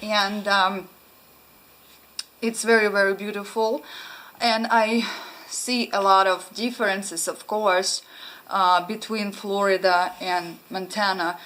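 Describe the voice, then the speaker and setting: A woman's voice: mid-range voice, steady tone, slow pace, a bit bright, rough recording. A young woman from Ukraine with a Russian accent, chatting casually to camera at home, hesitant with many ums and restarts. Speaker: vlogger. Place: small room at home, indoors.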